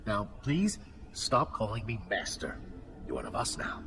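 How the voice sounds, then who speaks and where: A man's voice: fairly low pitch, unhurried, background noise. A man playing a kindly, cultured mentor in a video game, speaking graciously and formally. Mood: gracious, curious. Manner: gracious, formal, warmly expressive. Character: kindly, cultured male master and mentor of recruits. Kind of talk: video game cutscene acting. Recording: loud music behind the voice.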